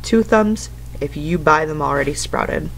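A woman's voice: deep voice, a little slow, gravelly. A woman in her thirties reading a picture book aloud to young children, instructing in simple phrases and putting questions to them. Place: indoors at home, living room couch.

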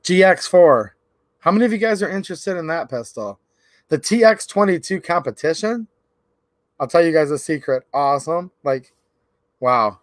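A man in his thirties hosting a livestream, talking casually and earnestly to his viewers, his voice a little raspy from cold and pollen. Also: fairly high pitch, lively intonation, normal speed, dry recording, studio quality. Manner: earnest, rambling, repeats "literally" and "like". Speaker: channel host. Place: indoor workshop or garage.

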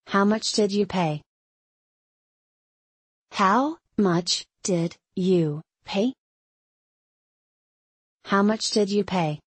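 A woman's voice: medium pitch, extremely slow, long pauses, no echo. A woman reading short English phrases for learners, saying each one carefully several times in a dry studio voice-over. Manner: careful, clear enunciation for learners. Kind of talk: slow repeated phrase reading.

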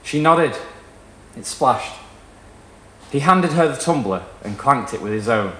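A young man giving an expressive, theatrical live reading of literary fiction. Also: mid-range voice, unhurried. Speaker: story reader. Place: small indoor performance room with wooden wall. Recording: roomy live venue sound.

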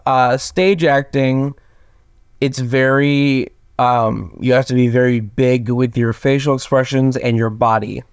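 Young male actor as interview guest; clean, resonant tenor lowered by sleep, hesitant casual talk, close dry sound. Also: fairly even intonation, measured pace, clear, resonant tone, dry recording. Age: young adult. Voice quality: tenor, lowered from just waking up. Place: plain small room indoors, video call.

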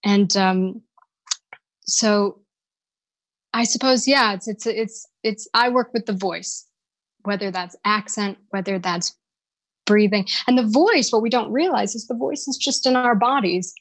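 A woman's voice: mid-range voice, measured pace, no room sound. A woman voice and dialect coach answering interview questions on a live podcast, thoughtful and searching for words as she explains.

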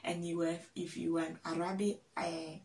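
A young woman from Ghana talking to camera in a vlog, slowly drilling words and repeating each one several times. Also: fairly low pitch, flat delivery, a little mellow, far from the mic. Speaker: vlogger. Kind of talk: casual teaching to camera. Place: small room indoors, plain wall. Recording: echoey room, voice off-mic.

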